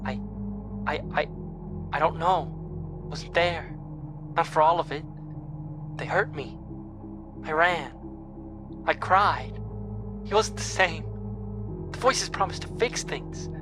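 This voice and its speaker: An actor in a horror audio drama playing a sad, frightened young ghost girl who talks haltingly and repeats things like a child. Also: medium pitch, over music, close to an everyday voice. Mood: distressed, pleading. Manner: halting, repetitive, childlike speech.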